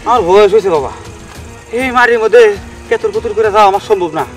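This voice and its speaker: A man's voice: high voice, fast talker, soft background music, lightly acted. A man voicing a weary old cartoon man who whines, complains and pleads. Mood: pleading, weary. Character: whining, weary old man in commando training. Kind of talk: Bengali cartoon voice acting.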